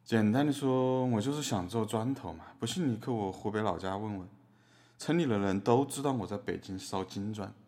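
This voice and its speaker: Man as a low-voiced guileless defendant. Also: a little fast, warm tone, natural voice. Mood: matter-of-fact. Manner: colloquial, artless, matter-of-fact. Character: guileless, easygoing male artist defendant who just makes bricks. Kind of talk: scripted storytelling in satirical courtroom drama.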